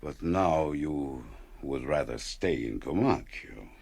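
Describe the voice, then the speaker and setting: A man's voice: rumbling low, animated, slow pace, vocal fry, a little mellow, breathy edge, no room sound, studio quality, heavily acted. A man acting a devoted, weary fisherman husband in a radio play. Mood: gentle, hopeful.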